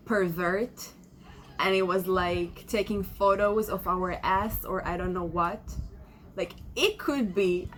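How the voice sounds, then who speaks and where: A woman in her twenties from Israel, a native Hebrew speaker with an Israeli accent, talking reflectively in a casual vlog and often pausing with fillers. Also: medium pitch, a bit bright, a little hiss or hum. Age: 20s. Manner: reflective, searching for words with many fillers. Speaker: vlogger. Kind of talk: casual personal vlog monologue.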